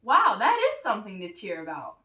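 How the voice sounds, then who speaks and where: A woman's voice: mid-range voice, wildly swooping pitch, fairly quick, sharp tone. A woman voicing an eager, naive young donkey puppet in a children's puppet show. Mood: amazed. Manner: naive, eager, chanting cheers. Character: excitable young donkey puppet cheerleading for Jesus. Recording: roomy, distant from the mic.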